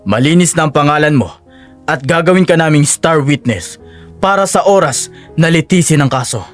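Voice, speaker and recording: Guy doing stern cop in audio drama, pretty high voice, commanding, soft music under. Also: lively intonation, normal speed, close to an everyday voice. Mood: formal, apologetic. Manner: commanding, formal. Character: stern, authoritative male constabulary officer.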